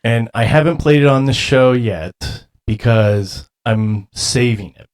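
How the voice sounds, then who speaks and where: Male host, loud and excitable, rambling casual interviewer. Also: mid-range voice, a little slow, noisy background. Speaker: radio show host interviewer.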